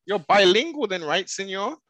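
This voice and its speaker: A man's voice: high voice, expressive, moderate pace, crowd noise. A man interviewing a guest, chiming in eagerly with questions and short reactions. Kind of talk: casual interview chat.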